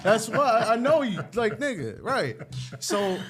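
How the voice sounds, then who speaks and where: Lively, high-voiced male podcast host. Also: a crowd in the background. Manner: supportive, quick to affirm, asks questions. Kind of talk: casual interview chat.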